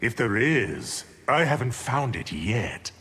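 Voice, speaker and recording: A man voicing an arrogant, sinister underworld sorcerer in a video game, with a smug, taunting, deliberate delivery. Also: mid-range voice, very animated, sharp tone, slightly rough, heavily acted. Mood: smug menace. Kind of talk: video game villain acting. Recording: game dialogue with music behind.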